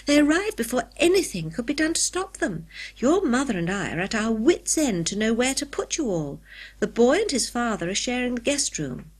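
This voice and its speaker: A woman voicing a practical, harried female cook in a children's story, brisk and fussing. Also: fairly high pitch, sing-song. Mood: harried, doubtful. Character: harried household cook, practical woman, scolding but kindly.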